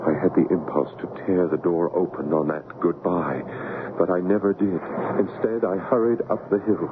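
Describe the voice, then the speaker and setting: Man acting anxious American husband, low voice, measured radio-play storyteller, solicitous, tinny noisy old broadcast. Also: fairly even intonation, close to an everyday voice. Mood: dread. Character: anxious American husband, a writer recounting a dreadful memory. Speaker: actor narrating and playing the husband. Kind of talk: 1970s radio drama acting, first-person narration.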